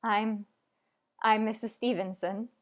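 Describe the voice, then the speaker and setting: A woman's voice: medium pitch, steady tone, slow, natural voice. A woman playing a frantic, demanding married woman, overwrought and melodramatic in a retro radio thriller style. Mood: shaken.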